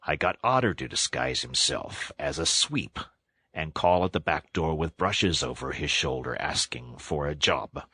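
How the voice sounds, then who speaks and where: A man voicing an older, commanding badger with a dry, measured manner in a children's storybook. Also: a bass voice, expressive, bright, forceful tone, slightly rough, dry recording, pitched below the performer's own voice, full character voice. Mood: measured, scheming.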